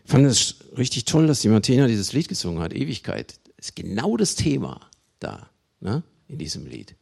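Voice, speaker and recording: Gravelly, animated male preacher from Germany. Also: mid-range voice. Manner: emphatic, with dramatic slow phrasing and pauses. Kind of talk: sermon to a congregation.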